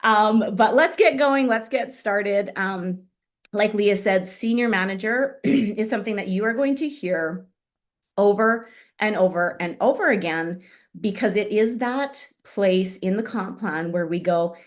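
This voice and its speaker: Throat-clearing woman fighting a cough. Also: medium pitch, measured pace. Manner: keeps clearing her throat. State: coughing, voice strained. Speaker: guest speaker on a business call. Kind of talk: upbeat motivational training talk.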